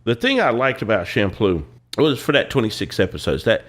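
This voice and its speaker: A young man with a very deep, congested voice, stuffy from a cold. He chats casually as a podcast co-host, unhurried and drawling, with lots of "uh" pauses. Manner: relaxed, drawling, with many "uh" pauses. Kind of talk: casual chat.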